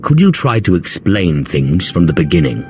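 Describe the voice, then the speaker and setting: Low, haughty Sith sorcerer. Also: male voice, sing-song, a little hiss or hum. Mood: cool, questioning. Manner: dry, arch, measured. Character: sardonic, haughty Sith sorcerer, coolly self-possessed. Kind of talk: video game voice acting. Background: faint music.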